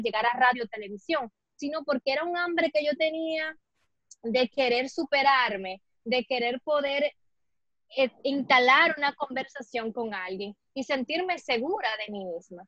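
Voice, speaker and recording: A young Dominican woman with a Cibao lilt speaks in a fairly high voice, earnest and reflective, often pausing with fillers. Her voice comes through a muffled video-call mic, close and dry with no room around it. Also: a bit bright, no room sound. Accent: Dominican Spanish, Cibao. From Dominican Republic. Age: 20s. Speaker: guest, fitness model. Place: small room indoors. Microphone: laptop or phone mic on video call.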